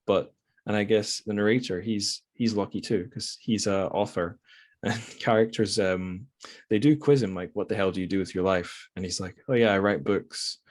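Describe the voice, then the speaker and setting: Scottish guy podcast host, low voice, dry close recording, wry and rambly book chat. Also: normal speed, no echo. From Scotland. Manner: rambling, self-correcting, wry. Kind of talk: casual reflective book discussion.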